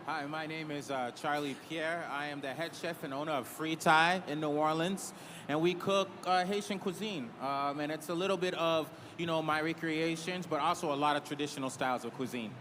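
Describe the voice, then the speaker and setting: Man, chef from Massachusetts, earnest, higher voice, echoey hall, steady hiss. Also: fairly quick. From Cambridge, Massachusetts. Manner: earnest, hesitant fillers. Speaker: head chef and restaurant owner. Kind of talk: panel talk. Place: large convention hall. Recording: reverberant hall, clear noise.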